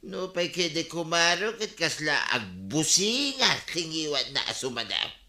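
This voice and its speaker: A man's voice: fairly high pitch, sing-song, a little slow, sharp tone, full character voice. A man playing a boastful, grumbling married villager in an Ilocano radio comedy, with an Ilocano Filipino accent.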